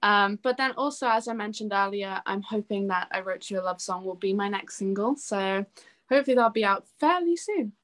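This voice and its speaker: Female, young adult, medium pitch. Informal, enthusiastic, chatty interview guest. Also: a little fast, fairly bright tone. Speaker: interview guest, singer-songwriter. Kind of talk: casual chat.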